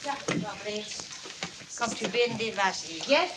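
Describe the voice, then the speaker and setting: An actress in a radio comedy playing a sensible, soothing nurse who runs a household. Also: female voice, mid-range voice, a little slow, heavy background noise, close to an everyday voice. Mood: polite. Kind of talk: radio comedy drama acting.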